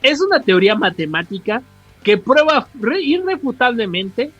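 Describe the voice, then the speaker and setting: A man's voice: extremely high pitch, sing-song, husky, lo-fi sound, faint music behind. A man with a Mexican accent explaining comics lore in a casual, slangy podcast chat, often restarting and correcting himself. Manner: informal, slangy, halting with self-corrections. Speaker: podcast co-host.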